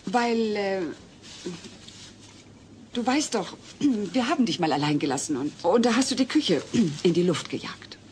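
A woman's voice: mid-range voice, expressive, measured pace, a little mellow, slight background noise, natural voice. An actress playing an exasperated, dry-witted mother and wife in a German sitcom audio drama. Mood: flustered.

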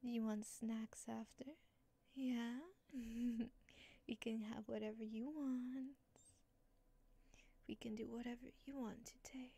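A woman murmurs in a hushed, breathy whisper, soothing and caretaking like a comforting mother. Her delivery is very unhurried, and her voice has a mellow, slightly gravelly texture. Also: a little high, level tone. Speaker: ASMR creator. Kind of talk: ASMR roleplay.

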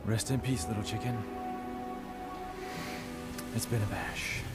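An actor playing a brash middle-aged demon hunter in a video game cutscene, with sardonic swagger. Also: male voice, lowish voice, takes it slowly, over loud music. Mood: subdued. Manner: laid-back, sardonic swagger.

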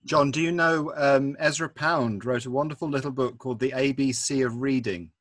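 A man's voice: medium pitch, fairly even intonation, a bit bright, dry recording. Man hosting a video call. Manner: friendly, brisk organiser. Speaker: meeting host. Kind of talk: casual video call chat. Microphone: video call laptop mic.

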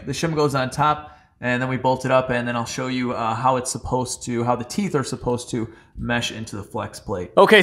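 Male car vlogger in his thirties, talking as he works, with some exasperated asides. Medium pitch. Handheld camera held close, echoey garage. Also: a bit bright. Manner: chatty, exasperated asides, talks while working. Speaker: car restoration YouTuber. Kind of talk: casual hands-on car repair vlog. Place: garage under a car on a lift.

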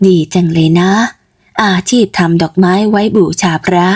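A woman's voice: lowish voice, steady tone, normal speed, a little mellow, voice pushed down, close to an everyday voice. A woman voicing a middle-aged village mother in a storybook tale, motherly and chatty with a fretful streak. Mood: mild. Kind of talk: storybook character voice.

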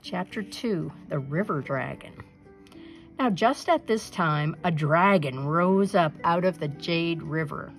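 A woman reading a children's folktale aloud in an expressive, deliberate storytelling manner. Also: on the low side, faint music behind. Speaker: storybook reader. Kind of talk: scripted narration, children's folktale read aloud.

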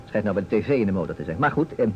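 Radio-play detective male actor: low, lively delivery, sly boastful storyteller, measured with dramatic pauses, muffled old recording. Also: a little hiss or hum, lightly acted. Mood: storytelling. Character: self-assured detective, slyly teasing storyteller and boastful mimic.

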